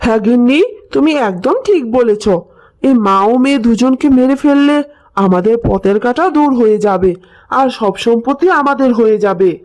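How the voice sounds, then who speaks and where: A woman's voice: on the high side, moderate pace, a little mellow, close to an everyday voice, poor audio. A woman voicing a greedy, conniving village husband in a cartoon, eagerly scheming.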